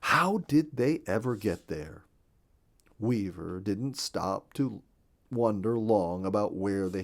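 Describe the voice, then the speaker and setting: Expressive, mellow-voiced male storyteller. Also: on the low side. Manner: gentle, expressive reading with a lot of rise and fall. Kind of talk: scripted storybook narration for children.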